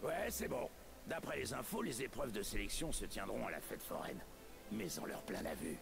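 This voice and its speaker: Gruff older garage boss in a game, gravelly, voice jumps around, loud music behind. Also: male voice, lowish voice, huge pitch swings, moderate pace, heavily acted. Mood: grudgingly supportive. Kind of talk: animated game dialogue.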